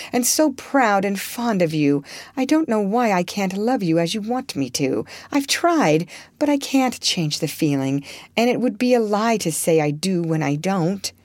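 A woman voicing a frank, warm-hearted young woman gently refusing a friend, in a storybook reading. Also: medium pitch, no room sound, pitched above the performer's own voice. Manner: earnest, remorseful.

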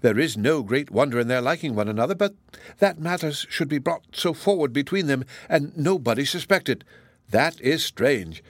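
A man's voice: mid-range voice, lively intonation, normal speed, dry recording. A man voicing a chatty, good-hearted older matron in an audiobook, gossiping in a rambling, exclamatory and indignant way. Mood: astonished, gossipy. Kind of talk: audiobook character dialogue.

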